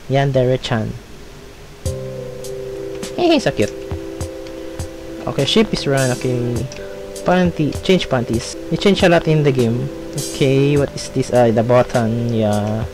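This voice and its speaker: Slow-talking playful gamer. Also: mid-range voice, low-quality recording. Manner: playful, reactive, fragmented remarks. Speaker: gaming video host. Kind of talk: casual let's-play commentary. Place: home room, no studio. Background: game music.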